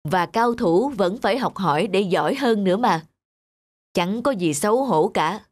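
A woman voicing a patient, encouraging cartoon father who reasons gently and instructively. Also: medium pitch, expressive, normal speed, natural voice. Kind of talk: cartoon dialogue. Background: music.

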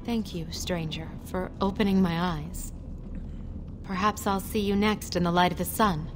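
A woman's voice: fairly low pitch, steady tone, moderate pace, background noise, natural voice. A woman voicing an anxious outcast dwarf mother. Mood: earnest. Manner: earnest, confiding. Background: game music.

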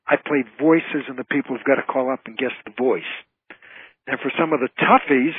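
A man's voice: mid-range voice, breathy edge, no echo. An older man from the United States, an entertainer and author, talking conversationally as a radio interview guest. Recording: tinny, dry phone line.

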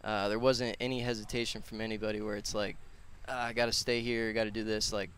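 A man's voice: lowish voice, level tone, fast talker, fairly bright tone, clear, resonant tone. A man in his twenties talking casually and earnestly as an interview guest, rambling and slangy, on a headset mic outdoors. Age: 20s. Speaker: podcast guest, personal trainer and gym owner. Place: outdoors on a concrete patio.

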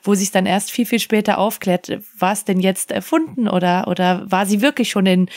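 A woman's voice: mid-range voice, normal speed, a little mellow. A woman in a casual discussion, thinking aloud and rephrasing as she goes. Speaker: podcast panelist.

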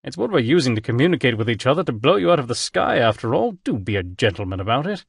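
Audiobook role: a man playing a dry-witted English admiral, polished accent, gentlemanly, pitched up. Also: fast, vocal fry, husky, dry recording, full character voice. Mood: deadpan. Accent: distinguished English. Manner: deadpan, gentlemanly, cheerful. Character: genteel British male admiral, deadpan wit.

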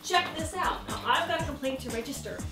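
A woman's voice: high-pitched, expressive, brisk, roomy sound, recorded from a distance, over music. A woman hosting a cooking show, showing a guest how to cook in her home kitchen, chatty and playful, laughing often and adding sound effects. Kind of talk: casual cooking demonstration.

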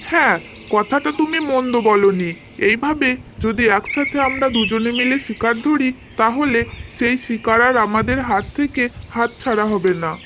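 A woman's voice: a little high, unhurried, lightly acted. A woman voicing a foolish, friendly old tiger, hungry, in a children's cartoon story. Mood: agreeable. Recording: clearly noisy, birdsong behind. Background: birdsong and nature sounds.

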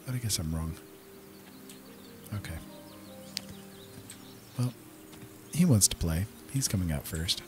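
Male gaming host, low register, casual running commentary over clear background music. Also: expressive, slow pace, long pauses. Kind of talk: casual let's play commentary. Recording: game music behind voice. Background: game music and outdoor nature sounds.

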